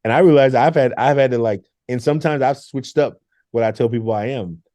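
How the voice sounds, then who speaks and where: A middle-aged man, a former engineer turned teacher, telling animated stories in an interview, acting out quotes and laughing often. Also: mid-range voice, brisk, no echo. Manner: lively, acts out quotes with laughs.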